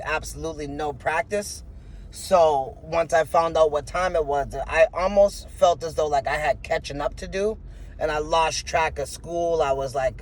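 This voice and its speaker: A man in his thirties with a froggy voice, talking frankly in an interview and rambling with many filler words. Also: fairly high pitch. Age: 30s. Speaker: interview guest, rapper. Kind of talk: candid interview answers.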